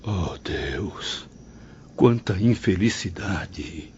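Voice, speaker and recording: Male actor for compassionate Brazilian professor in spiritual radio play: lowish voice, dull hissy audio. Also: animated, extremely slow, a little mellow, full character voice. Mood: pained. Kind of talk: audio drama acting. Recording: muffled, faint noise.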